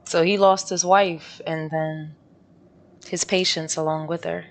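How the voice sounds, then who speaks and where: A woman's voice: on the low side, fairly even intonation, unhurried, slight background noise. A woman gamer giving casual, wry, sassy reaction commentary as she plays. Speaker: gameplay commentator.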